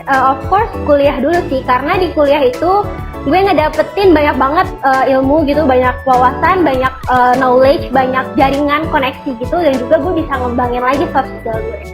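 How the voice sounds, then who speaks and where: A woman in her twenties, a university student, casually answering interview questions with some hesitant pauses. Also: high voice. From Indonesia. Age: 20s. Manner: relaxed, hesitant with filler sounds. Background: background music.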